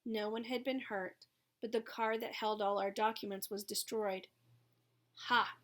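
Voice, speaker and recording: A woman's voice: mid-range voice, fairly even intonation, normal speed. Woman reading a story aloud. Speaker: audiobook reader. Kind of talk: dramatic scripted narration of a first-person story.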